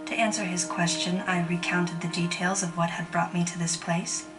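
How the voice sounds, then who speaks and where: A woman playing a reflective bounty hunter, narrating a game cutscene in a measured, grave, introspective way. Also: on the low side, steady tone, distant mic, over music, natural voice, rough recording. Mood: solemn, wistful. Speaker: narrator and protagonist.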